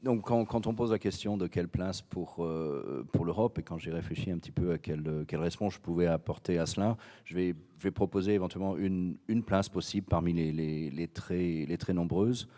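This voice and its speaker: A man's voice: sits low, a little fast, hardly any pauses, dark tone. A man from France, head of a cognac firm, speaking hesitantly on a conference panel with many fillers and repeated words. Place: conference hall.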